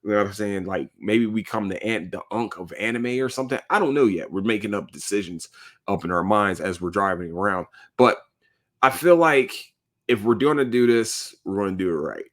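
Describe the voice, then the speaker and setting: A man in his thirties hosting a podcast, talking casually and jokingly in a rambling, animated way. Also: lowish voice, a little fast. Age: 30s. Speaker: podcast host. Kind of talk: casual solo podcast monologue.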